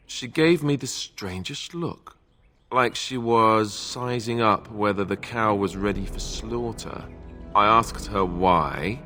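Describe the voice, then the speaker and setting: Wry, boyish prince, very animated. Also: male voice, lowish voice, heavily acted. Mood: bewildered, wry. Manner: wry, hesitant, informal. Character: wry, boyish, self-deprecating warrior prince. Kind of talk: naturalistic fantasy game acting.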